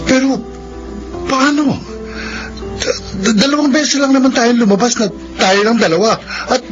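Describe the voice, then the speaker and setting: A high-pitched young man an actor plays a rattled, evasive boyfriend in a radio drama. He is hesitant and stammers under pressure. The sound is a bit dull, with light music behind. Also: fairly even intonation, normal speed. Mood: shocked, flustered. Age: young adult. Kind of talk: Filipino radio drama acting.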